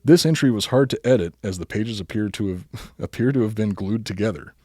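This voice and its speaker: A man reading a comic bedtime story aloud as a podcast host, mostly deadpan but prone to giggling. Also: lowish voice, fairly quick, husky, no room sound, studio quality.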